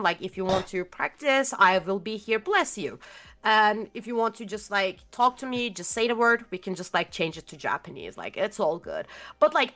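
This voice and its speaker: A young woman with a Turkish accent talks casually as an interview guest. Her pitch sits in a middle range, and she rambles, stopping often to search for the right word with lots of fillers. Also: fairly bright tone. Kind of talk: casual video-call chat.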